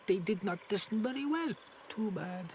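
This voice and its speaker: An actress playing an enigmatic, forgetful old island hermit woman in a video game, musing in halting phrases. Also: medium pitch, animated, a little mellow, a little hiss or hum, natural voice. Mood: detached. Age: older. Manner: slow, cryptic, musing, halting. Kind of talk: video game character acting.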